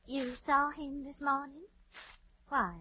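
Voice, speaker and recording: Radio-play role: genteel scheming matron, very high bright voice, imperious, sweeping delivery. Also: female voice, little pitch movement, takes it slowly, heavily acted. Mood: guarded, cool. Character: genteel, scheming fifty-year-old lady, coolly commanding. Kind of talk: old radio drama acting. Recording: dull, lightly noisy vintage radio recording.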